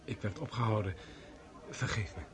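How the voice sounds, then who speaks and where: A man's voice: on the low side, normal speed, a crowd in the background, lightly acted. A man playing a polite, admiring young prince in a radio play. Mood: apologetic. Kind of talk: radio play acting and narration.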